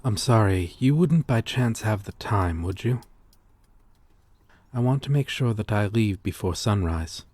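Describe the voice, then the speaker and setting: A gentle, old-souled vampire acted by a man speaks in a breathy, husky voice at an unhurried pace. He is formal and polite, pausing hesitantly, in the soft intimate manner of an ASMR roleplay. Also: fairly low pitch, lively intonation, no echo. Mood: courteous, fond. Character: gentle, courteous old-souled male vampire, lonely former fisherman. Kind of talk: ASMR roleplay audio drama.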